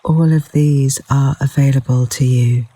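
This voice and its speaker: A man leading a guided yoga nidra meditation in a gentle, soothing, unhurried manner with long pauses between phrases. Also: mid-range voice, steady tone, no room sound. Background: flowing river water sounds.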